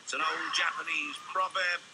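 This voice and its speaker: A man's voice: fairly high pitch, steady tone, normal speed, heavy background noise. A man doing cheeky, wisecracking voice-over commentary on game show footage, mock-serious and joking. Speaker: comedy commentator.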